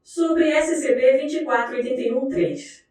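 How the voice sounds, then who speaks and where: A woman's voice: high voice, moderate pace, noisy background. A woman reading a scripted document in a formal, clinical manner. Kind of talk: scripted narration of a fictional document. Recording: echoey, distant, with music behind. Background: music.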